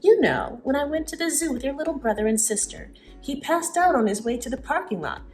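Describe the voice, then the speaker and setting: A woman's voice: high voice, expressive, brisk, faint music behind, pitched above the performer's own voice. A woman voicing a flustered, gossipy mother in a storybook reading. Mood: gasping, gossipy.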